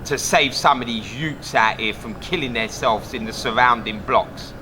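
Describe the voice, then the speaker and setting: A man's voice: medium pitch, moderate pace, background noise. A man asking questions as the interviewer in a casual conversation.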